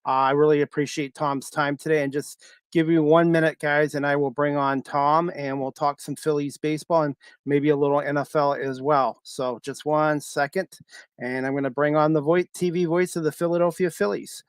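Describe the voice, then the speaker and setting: A man's voice: a little high, little pitch movement, normal speed, clear, resonant tone, no echo. A man in his fifties hosting a casual sports interview, talking like an eager, appreciative fan. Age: 50s. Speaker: sports podcast host.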